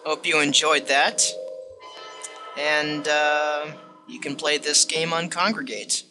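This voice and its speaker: A man's voice: on the high side, level tone, slow pace, over music. A man speaking casually to viewers as he signs off from a game reading. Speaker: video maker reading a game aloud.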